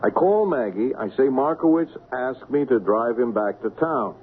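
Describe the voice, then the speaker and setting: An actor playing a cocky, persuasive swindler who coaxes and builds up to reveals in an old-time radio drama. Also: male voice, mid-range voice, expressive, normal speed, narrow, tinny audio, lightly acted. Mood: confident, explaining. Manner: theatrical, coaxing, builds up to reveals. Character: cocky, persuasive male swindler masterminding a plot. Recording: band-limited, noisy radio drama recording.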